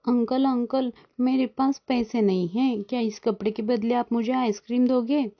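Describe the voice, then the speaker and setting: A woman's voice: a little high, fairly even intonation, moderate pace, voice pushed up, lightly acted. A woman voicing a cheeky, wheedling little boy bird in a children's cartoon, childlike and pleading. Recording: dull lo-fi sound.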